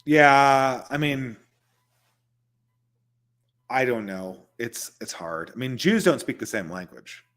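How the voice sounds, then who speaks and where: A man's voice: medium pitch, lively intonation, slow, pauses often, fairly bright tone. A man hosting a casual livestream, answering viewer questions in loose, conversational talk and chuckling between points.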